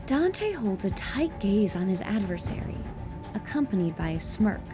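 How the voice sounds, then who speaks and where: Lively woman narrator over loud music. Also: mid-range voice, normal speed. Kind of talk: dramatic scripted action narration.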